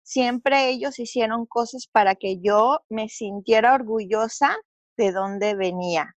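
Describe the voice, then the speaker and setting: A woman's voice: a little high, unhurried, no room sound. A middle-aged woman from Mexico with a Mexican Spanish accent, telling her story earnestly as an interview guest, with fillers and restarts.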